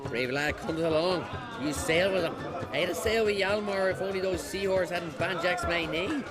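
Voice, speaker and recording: A man's voice: sits high, normal speed, loud music behind the voice, over a noisy crowd, lightly acted. An actor playing a boastful, bickering islander fisherman in a video game, mouthy and indignant. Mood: indignant, mocking.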